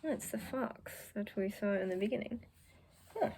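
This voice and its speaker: A woman's voice: mid-range voice, normal speed, vocal fry, dark tone, slight background noise. A young woman in her teens reading a children's picture book aloud, adding chatty, informal asides for young listeners. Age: teenager. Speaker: student volunteer reader.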